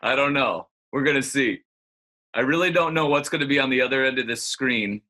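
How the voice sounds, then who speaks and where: A man hosting a casual chat in an excitable, playful way. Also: mid-range voice, fairly quick. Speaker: host.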